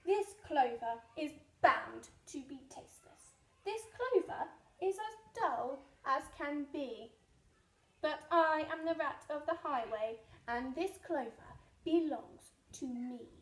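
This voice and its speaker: A woman playing a rude, greedy male rat highwayman in a storybook, commanding and scornful as they declaim in rhyme. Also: lively intonation, slow, heavily acted. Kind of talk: picture-book storytelling. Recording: echoey room.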